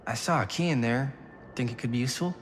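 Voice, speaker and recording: A man playing an earnest, awkward young amateur filmmaker in a video game, with an American accent and a casual, halting delivery. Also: mid-range voice, faint music behind, lightly acted. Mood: helpful. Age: young adult. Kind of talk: naturalistic game cutscene acting.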